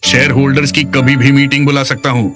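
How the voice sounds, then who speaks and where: A man's voice: mid-range voice, flat delivery, quick pace, close to an everyday voice. A man playing a commanding, coolly threatening business executive in a dubbed drama. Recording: instrumental music behind the voice.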